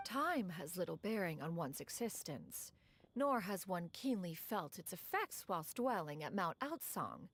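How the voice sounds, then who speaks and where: A woman's voice: on the low side, very animated, unhurried, fairly bright tone, full character voice. A woman voicing an aloof, ancient female adeptus who speaks in formal, archaic phrasing. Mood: composed. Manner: archaic formal speech, speaks of herself as one.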